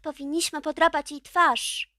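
Woman playing loud, shrill, vengeful coven witches. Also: level tone, measured pace, no echo, clean studio sound. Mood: vicious. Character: clamoring, vengeful coven witches demanding punishment.

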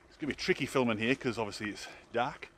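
A man's voice: mid-range voice, fast. A middle-aged man giving chatty, informal commentary for a walking vlog. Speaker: vlogger, hiking guide. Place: outdoors on trails and in a cave. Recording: outdoor ambience and noise.

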